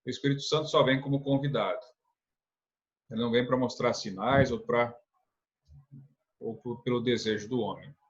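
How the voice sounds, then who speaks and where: A man's voice: medium pitch, fairly even intonation, moderate pace, long pauses, muffled recording. A man from teaching a church lesson, earnest, asking rhetorical questions and often adding a tag question. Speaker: religious instructor.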